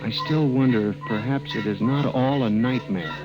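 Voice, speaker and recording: A man's voice: medium pitch, normal speed, dull audio, lightly acted. An actor playing an anxious male office scientist narrating his descent into paranoia in an old-time radio drama, with a tense, melodramatic delivery. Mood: grim. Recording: vintage radio drama with music underneath.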